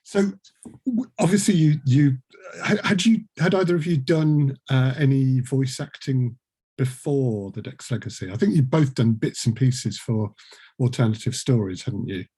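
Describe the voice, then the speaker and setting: A man hosting a relaxed group interview, talking in a jokey, rambling, self-deprecating way. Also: medium pitch, moderate pace, husky. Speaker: podcast host and producer. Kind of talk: casual group chat interview.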